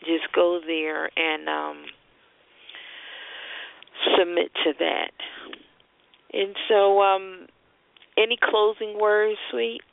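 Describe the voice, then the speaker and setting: A woman's voice: on the low side, level tone, drawn-out words, vocal fry, pauses often. A woman hosting a conversational call-in talk show, giving advice and announcements over a phone line. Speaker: radio show host and marketing strategist. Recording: muffled, band-limited phone-line internet radio.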